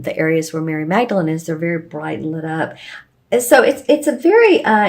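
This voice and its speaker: A woman giving a casual, rambling tarot reading to her viewers, with a slightly hoarse voice and plenty of fillers and restarts. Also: fairly low pitch. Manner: meandering, with frequent fillers and restarts. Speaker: tarot reader.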